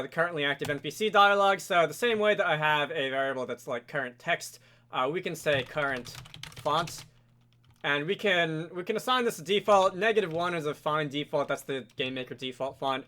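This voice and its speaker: A man narrating a software tutorial casually and informally, thinking aloud as a host with a wry streak. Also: a little high.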